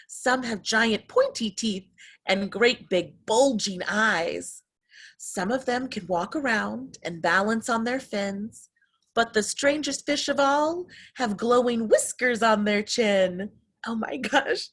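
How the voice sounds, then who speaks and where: A woman reading a picture book to young children, expressive and exclamatory, addressing her listeners directly. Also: mid-range voice, husky. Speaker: children's story reader.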